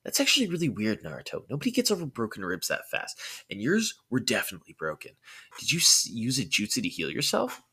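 A man's voice: mid-range voice, huge pitch swings, fast, sharp tone, husky, voice pushed up, exaggerated voice. A man voicing a bossy, inquisitive teenage ninja girl who sounds insistent and exasperated, in a story reading. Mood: puzzled, insistent. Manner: insistent, questioning.

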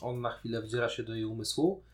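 A man's voice: medium pitch, a little fast. A man co-hosting a podcast, casually talking through and arguing his point of view. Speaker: podcast co-host reviewing a TV series. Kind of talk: casual discussion.

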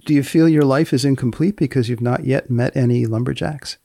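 A man hosting a podcast in a conversational way, measured and deadpan as he presents and questions. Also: medium pitch, gravelly, no room sound, studio quality. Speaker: podcast host, magazine editor. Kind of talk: conversational interviewing and show presenting.

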